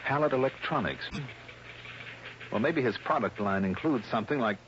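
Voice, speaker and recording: An American man playing a brisk, shrewd investigator in a mid-century radio drama, clipped, insistent and dry. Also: medium pitch, lively intonation, a bit bright, lightly acted. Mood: shrewd, confident. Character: brisk, shrewd male insurance investigator, radio detective hero. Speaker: lead actor and narrator. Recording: dull, noisy old radio recording.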